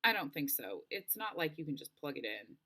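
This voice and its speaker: A woman's voice: deep voice, very animated, a little fast, pushed and bright, husky, heavily acted. A woman voicing a practical, level-headed young camper who guides a stranded friend, in a storybook read-aloud. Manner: matter-of-fact, reassuring. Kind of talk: storybook character voice.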